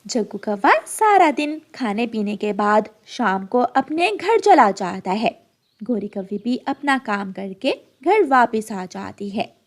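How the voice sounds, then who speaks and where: A woman narrating a cartoon story for children in a lively storybook manner. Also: a little high, takes it slowly.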